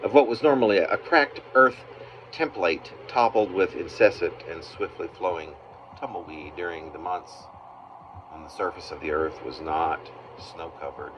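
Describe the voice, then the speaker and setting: A slightly dull home recording with faint background noise carries a man's very low voice. He reads a literary narrative of his own writing, breaking the sentences into halting pieces with pauses in unexpected places. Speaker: poet, author reading own work. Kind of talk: literary reading of a narrative poem. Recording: muffled, noisy amateur home recording.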